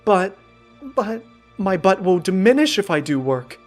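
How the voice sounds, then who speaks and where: A man's voice: sits high, very animated, measured pace, faint music behind, pitched above the performer's own voice. A man voicing a lazy, petulant female pony ruler with self-important royal phrasing in a comic storybook. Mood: whiny, reluctant.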